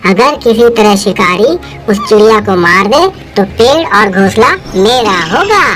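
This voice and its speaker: A woman's voice: medium pitch, animated, measured pace, natural voice. A woman voicing a greedy, scheming male crow with a coaxing manner in a children's cartoon. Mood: plotting. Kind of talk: Hindi children's cartoon voice acting. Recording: music behind the voice.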